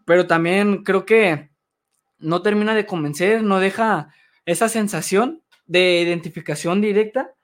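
A man co-hosting a sports show, giving a measured, analytical take. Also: high-pitched.

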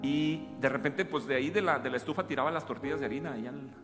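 A man in his forties, a guest from Chiapas, Mexico, telling his life story in a relaxed, joking way with many restarts and laughs. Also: medium pitch, fast. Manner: chatty, jokes and laughs, restarts often. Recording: roomy reverb with loud music behind.

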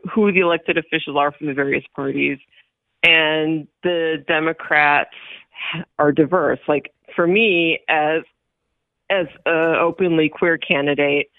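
A woman's voice: sits low, fairly even intonation, slow pace, long pauses, dry recording. A woman in her forties whose first language is Hebrew speaks over a tinny phone line. She talks earnestly and steadily, leaning on repeated phrases for emphasis. The sound is dry, with no room around it. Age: 40s. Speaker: political candidate, guest. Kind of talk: phone interview on radio.

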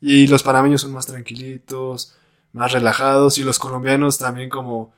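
A man's voice: mid-range voice, level tone, moderate pace. A man with a Mexican accent hosting a casual podcast chat, slangy and laid-back, asking his guests questions. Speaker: podcast host.

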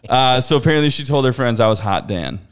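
A man in his thirties, a podcast guest, chatting casually and loudly, rambling with plenty of fillers and frequent laughs. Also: medium pitch, animated, quick pace, clean tone. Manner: loud, laughs often, rambling with many fillers. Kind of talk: casual chat.

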